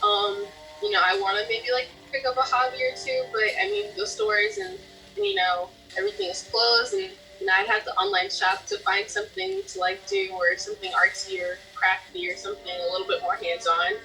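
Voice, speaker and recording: A woman's voice: high-pitched, very animated, a little fast, creaky, sharp tone, far from the mic, soft background music, poor audio. An eighteen-year-old woman studying journalism, chatty and giggly, with lots of filler words as she answers. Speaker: journalism student. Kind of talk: casual interview answers.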